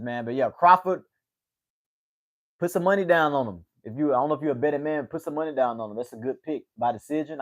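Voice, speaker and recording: A man in his late twenties chatting casually on his own livestream, rambling and repeating himself, with "man" at the end of many sentences. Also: on the high side. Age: 20s. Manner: rambling, repeats words, says "man" a lot. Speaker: livestream host.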